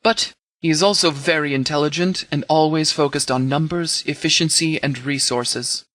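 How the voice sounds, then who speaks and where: A man's voice: on the high side, fairly even intonation, normal speed, fairly bright tone, no echo, lightly acted. A man playing a stern, blunt, battle-weary military leader in a video game, with a firm, clipped, authoritative delivery. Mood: firm, commanding. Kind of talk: video game dialogue acting.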